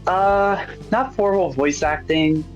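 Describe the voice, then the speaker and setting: A man's voice: sits high, slow pace, lo-fi sound, faint music behind. A man being interviewed as a new voice actor and artist, chatting in an easygoing, slightly hesitant way. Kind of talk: casual chat, recounting how they got involved.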